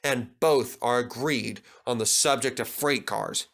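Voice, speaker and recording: A man narrating a children's story from a script in an expressive, dramatic storytelling manner. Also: medium pitch, a little slow, creaky. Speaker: storybook reader.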